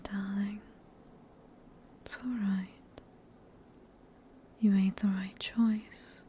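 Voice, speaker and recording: Female performer as sadistic British vampire mistress for ASMR roleplay: breathy whisper, very unhurried, menacingly tender, faint background noise. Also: mid-range voice, a little mellow. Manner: slow, teasing, condescending endearments.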